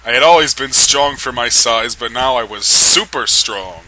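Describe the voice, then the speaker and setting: A man's voice: medium pitch, normal speed, pushed and bright, rough recording. A man giving a dramatic reading of amateur fan fiction, amused and often struggling to keep from laughing. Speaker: reader of a comedic fan fiction read-aloud.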